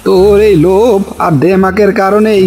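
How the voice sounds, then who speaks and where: A man voicing a grumbling older village uncle in an animated folk tale, with exclamatory, scolding delivery. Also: high-pitched, normal speed, slight background noise. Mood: indignant scolding.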